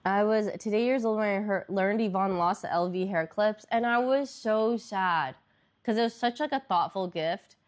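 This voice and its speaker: A woman's voice: medium pitch, moderate pace. A young woman streamer chatting casually with viewers, congested and nasal, rambling with lots of fillers.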